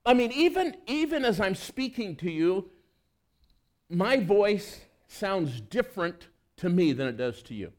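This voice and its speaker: A man's voice: high voice, very animated, unhurried. A male pastor giving a conversational sermon, reading scripture and asking the congregation rhetorical questions.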